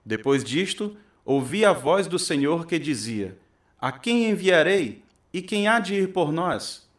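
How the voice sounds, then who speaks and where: A man's voice: a little high, sing-song, slow pace. A man acting as an earnest preacher giving a solemn, declamatory sermon in a radio drama. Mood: solemn, urging. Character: earnest male preacher addressing his congregation.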